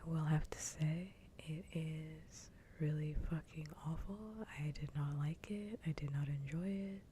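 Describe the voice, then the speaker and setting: A woman hosting an ASMR livestream, chatting casually in a soft, breathy whisper close to the mic, with unhurried, sweary rambling. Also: low voice, steady tone, a little hiss or hum. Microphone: close ASMR microphone.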